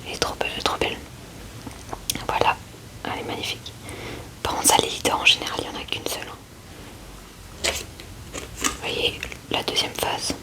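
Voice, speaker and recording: A woman whispers breathily and gently into a close microphone, murmuring short informal remarks as ASMR commentary. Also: moderate pace, long pauses, rough recording. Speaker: ASMR video creator.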